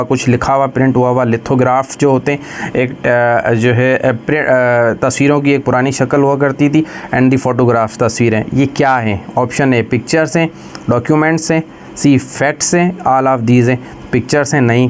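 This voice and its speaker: A man with a Pakistani accent giving an explanatory exam-prep lecture, slowing down to read questions deliberately and then explaining them. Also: mid-range voice, slight background noise. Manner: switches between Urdu explanation and slow, deliberate English reading. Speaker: lecturer for law exam preparation.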